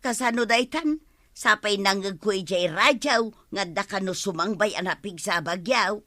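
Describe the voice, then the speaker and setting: Woman acting a bright, chatty village gossip. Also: medium pitch, normal speed, no echo, natural voice. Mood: curious, urgent. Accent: Ilocano. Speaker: radio comedy drama actress. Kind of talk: Filipino radio comedy drama acting.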